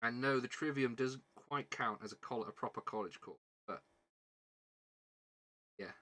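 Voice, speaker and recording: A man's voice: mid-range voice, unhurried, bright, forceful tone. A man with a southern British English accent chatting casually as a livestream host, rambling and self-deprecating, often repeating his words. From southern England. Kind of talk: casual livestream chat while building a model kit.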